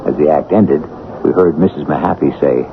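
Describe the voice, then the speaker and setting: A man hosting a 1970s radio mystery show, narrating formally with measured, dramatic pauses. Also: rumbling low. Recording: tinny, hissy old radio broadcast.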